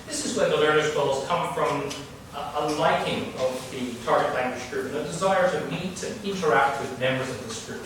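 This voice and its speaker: Man, middle-aged, from Canada, academic lecture, many fillers and restarts, distant mic, heavy hall echo. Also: medium pitch. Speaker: guest lecturer. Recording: echoey hall recorded from afar, background noise.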